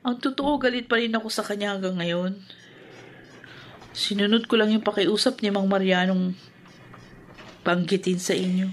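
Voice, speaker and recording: Actress as an earnest, polite elder daughter. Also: female voice, medium pitch, steady tone, moderate pace, long pauses, a little hiss or hum, natural voice. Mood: earnest, hurt. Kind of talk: Filipino radio drama acting.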